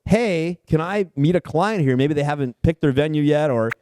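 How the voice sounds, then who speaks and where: A man in his forties co-hosting a podcast, talking quickly with jokes and swearing. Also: a little high, expressive, darkish tone, no echo, studio quality. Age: 40s. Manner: quick, joking, swears freely. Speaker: podcast co-host. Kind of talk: casual chat.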